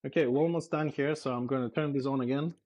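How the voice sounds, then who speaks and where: A man's voice: medium pitch, fairly even intonation, fast talker, a little mellow. A man from Greece, whose first language is Greek, chatting casually on a live stream as he cooks in his home kitchen. He is easygoing, laughs often, and has a slight lisp when he speaks English. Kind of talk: casual live-stream chat while cooking.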